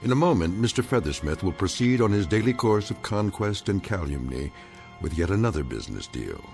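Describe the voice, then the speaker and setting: A man narrating an eerie audio drama as a wry, ominous storyteller, in a measured, portentous way. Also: deep voice, big pitch swings, creaky, darkish tone, faint music behind, full character voice.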